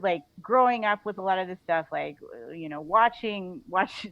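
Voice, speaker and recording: A woman in a relaxed conversational interview, talking informally in halting phrases peppered with filler words and small chuckles. Her pitch sits mid-range for a woman. The sound is dry and a little dull. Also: no room sound. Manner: chuckles often while talking; informal, halting, frequent "like" and "you know". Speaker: interview guest, author.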